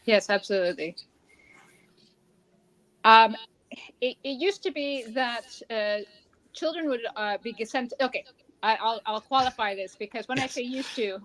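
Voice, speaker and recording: A woman's voice: on the high side, measured pace, long pauses, a bit bright, low-quality recording. Chatty Canadian woman. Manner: chatty, digressive, self-correcting. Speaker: guest. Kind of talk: conversational interview.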